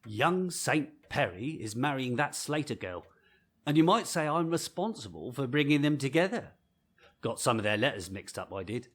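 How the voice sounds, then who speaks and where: A chatty village postman, full of himself and jovial. His pitch leaps about as he boasts and gossips, in the manner of an old radio play. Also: big pitch swings, normal speed. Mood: cheerful, gossipy. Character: chatty, self-important male village postman and bell ringer. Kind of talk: old-time radio drama acting.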